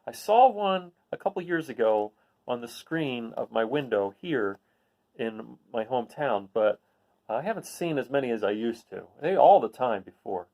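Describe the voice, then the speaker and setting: Lively man reading aloud. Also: medium pitch, moderate pace. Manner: asks listeners questions. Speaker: read-aloud host. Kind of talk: reading a children's picture book aloud with casual asides.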